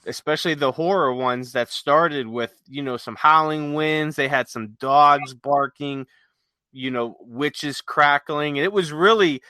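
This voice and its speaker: Bright, higher-pitched male podcast co-host. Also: a little slow, clear, resonant tone, dry recording. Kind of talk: casual chat with some read-aloud notes.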